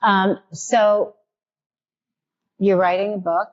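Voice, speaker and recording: A woman's voice: medium pitch, level tone, takes it slowly, dull audio. A woman with a New York accent hosting a casual podcast interview, thinking aloud with many restarts and real enthusiasm. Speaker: podcast host.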